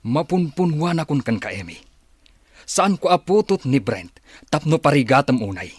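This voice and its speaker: A man playing a caring, protective father in a radio drama, with a husky voice. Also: on the high side, big pitch swings, normal speed, no echo, clean studio sound. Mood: reproachful. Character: protective, caring father defending his adopted son.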